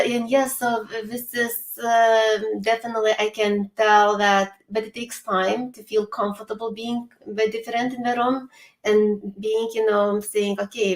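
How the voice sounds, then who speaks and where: A woman with a Lithuanian accent speaks on a video call in an online panel, earnest and at times searching for the right words. Her pitch stays fairly flat, and her voice carries an echo from the room. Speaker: summit speaker. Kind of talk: casual panel chat.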